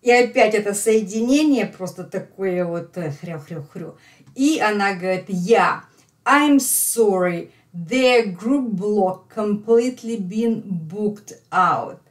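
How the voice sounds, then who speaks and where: A woman's voice: medium pitch, expressive. A middle-aged woman with a Russian accent teaching English, explaining line by line and repeating phrases emphatically for learners. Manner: slow, emphatic repetition for learners. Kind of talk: lesson explaining a phone call line by line.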